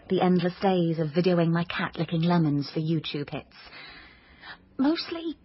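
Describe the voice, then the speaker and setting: A woman's voice: lowish voice, dull audio, slight background noise. An actress playing a naive young woman who dreams of being a noir detective, earnest, awkward and self-deprecating in a radio drama. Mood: resolute. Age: young adult. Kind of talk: radio drama acting and confiding narration.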